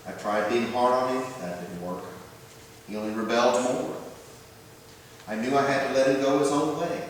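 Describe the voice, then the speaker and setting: A man's voice: mid-range voice, lightly acted. A man playing a loving, emotional father of grown sons in a church readers theater monologue, speaking in a heartfelt, reflective way. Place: large church room, live before congregation. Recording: very echoey church hall, distant, faint noise.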